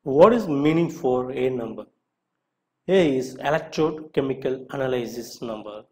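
A man narrating a scripted question-and-answer lesson as an instructor, reading out each question and short answer deliberately. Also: medium pitch, animated.

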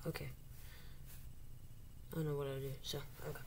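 A woman playing out a game with plush toys, talking in a hushed, mumbling way. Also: low voice, vocal fry, slight background noise. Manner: hushed, mumbling, often whispered. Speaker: toy video maker.

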